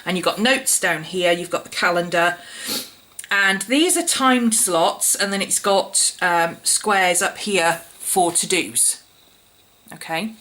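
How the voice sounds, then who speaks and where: Vlogger, woman, croaky from a cold, bright tone, chatty walkthrough. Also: medium pitch. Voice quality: slightly croaky, husky. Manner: chatty, explaining step by step. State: hoarse from a cold. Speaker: planner YouTuber. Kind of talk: casual show-and-tell vlog.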